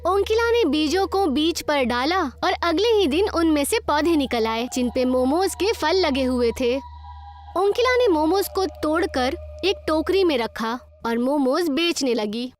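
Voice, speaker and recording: A woman narrator reading a scripted children's moral story. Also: high-pitched.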